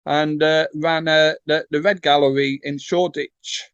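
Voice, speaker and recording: A man with a distinctly high voice hosts a conversational interview. He speaks informally, with some hesitation, and the sound is dry and close, without room reflections. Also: steady tone, measured pace, a bit bright, clean tone, dry recording, highs cut off. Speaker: interviewer, host.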